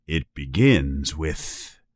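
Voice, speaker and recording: Guy doing a low growly big giant, slow, kind underneath, dry sound. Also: animated, no echo, full character voice. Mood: gruff, troubled. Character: big gruff kindly male giant, soft-hearted.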